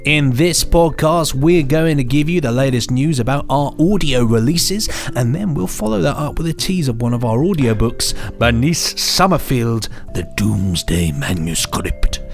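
A man's voice: mid-range voice, expressive, normal speed, creaky, few pauses, faint music behind. A British man co-hosting a podcast in a chatty style, with jaunty, whimsical turns of phrase. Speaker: podcast co-host.

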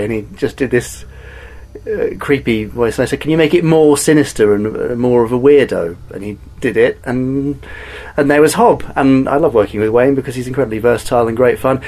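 A man's voice: medium pitch, darkish tone. A man, an audio drama director, explaining his work in a behind-the-scenes interview.

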